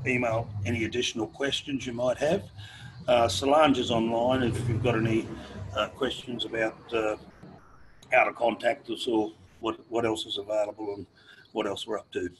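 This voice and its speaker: An older man with an Australian accent, losing his voice, speaking haltingly in a webinar over a video call from a sheltered veranda. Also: mid-range voice, a bit bright, a little hiss or hum, rough recording. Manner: halting, many fillers and restarts. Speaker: panelist. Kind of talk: webinar talk. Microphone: laptop video call.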